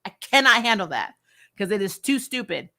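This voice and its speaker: A woman hosting a casual livestream review, chatting with viewers in an animated, emphatic way and repeating phrases for effect. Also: mid-range voice, brisk. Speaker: host of a comic and TV review channel. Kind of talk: casual livestream chat, answering viewer questions.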